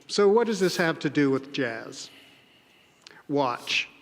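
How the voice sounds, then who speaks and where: A man, an English professor, giving a measured, earnest prepared speech to a large audience. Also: a little high, animated. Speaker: English professor giving a spoken-word address. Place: large indoor arena. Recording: reverberant arena sound.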